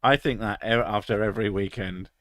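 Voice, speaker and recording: A man's voice: fairly low pitch, fairly even intonation, a little fast, fairly bright tone, no echo. A British man in his thirties chatting casually on a stream, crude and sarcastic, swearing freely. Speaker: streamer reading chat. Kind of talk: casual live stream chat.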